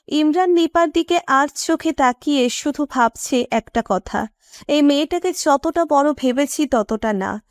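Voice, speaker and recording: A woman's voice: sits high, no room sound, studio quality. A woman narrator reading a romantic story in scripted storytelling style.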